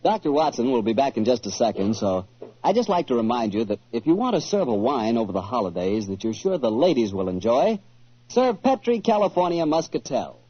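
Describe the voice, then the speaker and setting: A man working as a radio announcer, delivering a 1940s-style sponsor pitch. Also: sing-song, brisk, slightly rough. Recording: muffled old-time radio recording.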